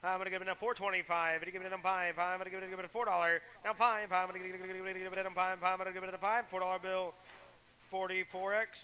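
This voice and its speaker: A man's voice: high-pitched, level tone, quick pace, sharp tone. A man working as a livestock auctioneer, calling bids in a rhythmic chant that rolls filler words over and over. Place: livestock market sale barn. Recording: faint hiss on livestream.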